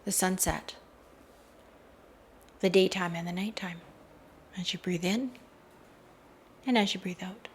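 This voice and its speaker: A woman leading a slow guided meditation in a measured, hushed voice that often softens into breathiness, recorded outdoors. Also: lowish voice, steady tone, a little hiss or hum. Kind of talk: slow, soothing guided meditation with hypnotic induction. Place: outdoors in a garden. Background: faint outdoor garden ambience.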